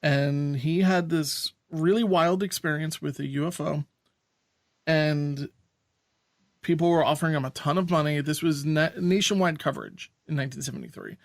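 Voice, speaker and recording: A man's voice: a little high, steady tone, normal speed, gravelly, dry recording, studio quality. A man co-hosting a podcast, giving blunt opinions in a casual, easygoing way. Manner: blunt, opinionated, laughs easily. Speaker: podcast co-host. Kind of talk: casual chat.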